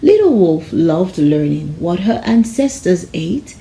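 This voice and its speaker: A woman narrating a children's storybook aloud in a measured, expressive storytelling manner. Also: on the low side, creaky, low-quality recording. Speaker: storybook reader.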